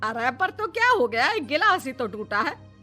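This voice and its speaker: A woman's voice: high-pitched, sing-song, normal speed, sharp tone, soft background music, heavily acted. A woman voicing a bitter, scolding older mother-in-law in a family cartoon. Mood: indignant. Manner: complaining, scolding.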